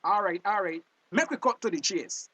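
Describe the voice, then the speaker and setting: An actor playing a haughty, impatient hustler in a screen drama, brash and demanding, with a Belizean accent. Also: male voice, high-pitched, animated, normal speed, fairly bright tone, breathy edge, no room sound, exaggerated voice. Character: pushy, impatient male hustler, haughty and suspicious. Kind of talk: acted screen drama dialogue.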